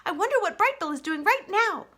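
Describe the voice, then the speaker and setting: A woman's voice: very high-pitched, expressive, brisk, a bit bright, voice pushed up. A woman voicing a chatty, eager, anxious young female animal in a children's storybook, rambling on breathlessly. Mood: chirpy, eager.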